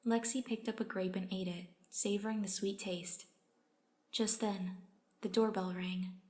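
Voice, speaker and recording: Woman as story reader, medium-pitched, resigned first-person narration of a put-upon underling. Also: steady tone, a little slow, mellow, close to an everyday voice. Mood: subdued, resigned.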